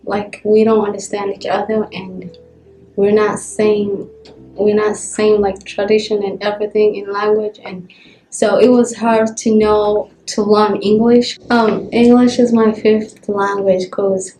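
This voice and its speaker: Woman, slow, flat, mellow tone, roomy sound, faint music. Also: medium pitch. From Kenya. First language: Somali. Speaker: immigrant student.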